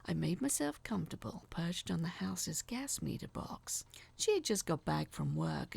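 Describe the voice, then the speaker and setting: A woman narrating a scripted horror story as an awkward, obsessive young male peeping Tom, in a confessional, dramatic manner with breathy drops. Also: fairly low pitch, darkish tone, exaggerated voice.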